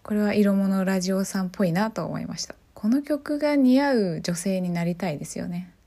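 A woman's voice: mid-range voice, fairly quick, gravelly, warm tone. A woman hosting a podcast on her own, chatting casually and often putting questions to her listeners. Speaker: podcast host. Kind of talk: casual solo podcast chat.

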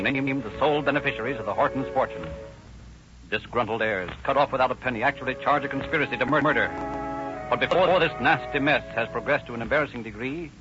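A man's voice: medium pitch, lightly acted. A man playing the formal, melodramatic narrator of a 1930s radio crime drama. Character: grave, formal male crime-story narrator. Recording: muffled old-time radio recording, music.

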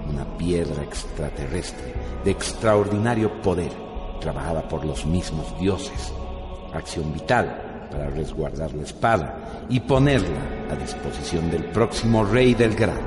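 A man giving solemn, measured scripted narration for a radio drama. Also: low-pitched.

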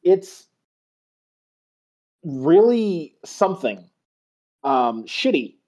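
A man's voice: sits high, big pitch swings, very slow, a little mellow. A man co-hosting a football podcast, talking bluntly and emphatically with swearing. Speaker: podcast co-host. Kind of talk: casual football podcast chat. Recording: slightly dull lo-fi audio.

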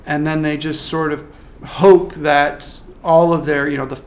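A man working as a marketing consultant, giving a measured, thoughtful interview answer with frequent restarts. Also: fairly high pitch, flat delivery. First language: not French.

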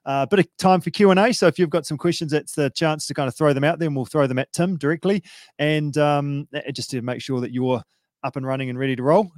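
A man's voice: fairly high pitch, fairly even intonation, fast, darkish tone, no echo, clean studio sound. A man hosting a podcast, talking in a loose, chatty way full of fillers. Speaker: podcast host. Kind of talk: casual podcast chat.

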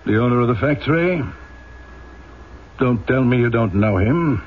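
An actor playing a cold, stern, scheming police inspector in an old-time radio drama. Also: male voice, mid-range voice, expressive, moderate pace, lightly acted. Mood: stern, insinuating. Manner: clipped, sardonic, domineering. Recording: dull, noisy old radio recording.

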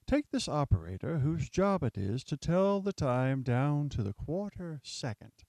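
A man narrating and hosting in the scripted style of old-time radio, measured and theatrical. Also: a little high, very animated, warm tone, dry recording. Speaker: narrator and host.

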